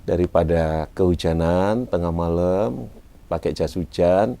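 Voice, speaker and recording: A man's voice: deep voice, measured pace, gravelly, dark tone. A man in his forties with a Malang Javanese accent, chatting casually as an interview guest, self-mocking and slipping in Javanese words. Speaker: guest, event MC and former radio announcer. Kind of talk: relaxed interview chat.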